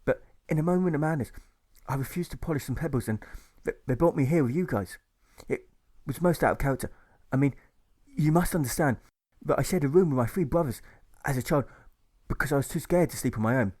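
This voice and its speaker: A jittery, cowardly young man frets and rambles, his words tumbling out hesitantly, as a man puts him on. He sounds a shade higher than the narrator's own voice, anxious and apologetic. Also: fairly quick, darkish tone, lightly acted. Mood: fretful. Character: timid teenage male conscientious objector.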